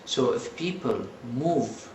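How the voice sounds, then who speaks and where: A man giving a slow, halting interview statement in English that is not his first language. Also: mid-range voice, expressive. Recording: echoey room with faint background noise.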